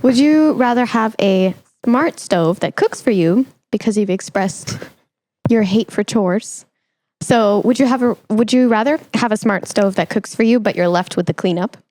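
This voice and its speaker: A woman's voice: medium pitch, normal speed, a little mellow, no echo. A woman hosting a casual podcast interview, chatting in a playful, teasing way. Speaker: podcast host.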